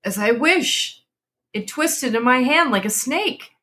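A woman's voice: high voice, lively intonation, takes it slowly, bright, forceful tone, pitched above the performer's own voice, heavily acted. A woman voicing a credulous old husband and father in a storybook reading, with an eager but hesitant manner. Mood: shaken.

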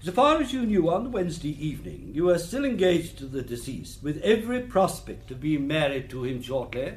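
An actor playing a courteous, formal British coroner in a radio drama, questioning witnesses in a measured, probing way. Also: male voice, fairly high pitch, sing-song. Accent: British English.

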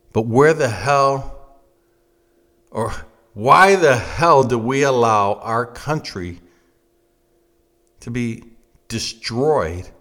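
Male political commentator, 50s, American. Emphatic and blunt, unhurried delivery with big swings in pitch. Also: a bit bright. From United States. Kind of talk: opinionated political monologue.

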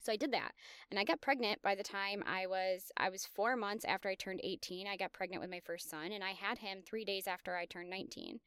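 A woman's voice: medium pitch, fairly even intonation, brisk, a bit bright, clear, resonant tone, no room sound, clean studio sound. A woman in her thirties hosting a podcast, telling her own story in a candid, confessional, self-reflective way. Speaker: podcast host. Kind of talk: personal storytelling monologue.

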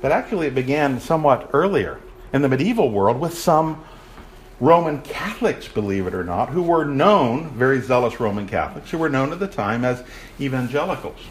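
A man's voice: medium pitch, sing-song, fairly quick, slight background noise. A man giving a lecture on church history to an audience in a room. He projects his voice and puts questions to the listeners. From raised in a Baptist family.

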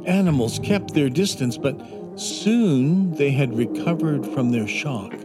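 A man narrating a scripted children's adventure story in a measured, dramatic storytelling manner. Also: medium pitch, animated. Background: instrumental music.

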